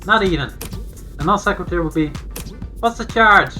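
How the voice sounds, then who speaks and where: An actor voicing a brash, teasing young joker in a video game, animated and full of slangy exclamations. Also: mid-range voice, normal speed. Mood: breezy. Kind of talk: voiced visual novel dialogue. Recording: game audio with music behind.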